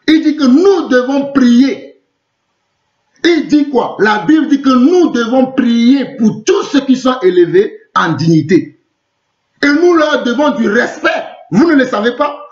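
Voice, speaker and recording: High-pitched Togolese-accented preacher, a man. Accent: Togolese French. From Togo. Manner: emphatic, repeats phrases and asks rhetorical questions. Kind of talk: live online preaching with replies to comments. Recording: roomy, echoing, muffled lo-fi sound.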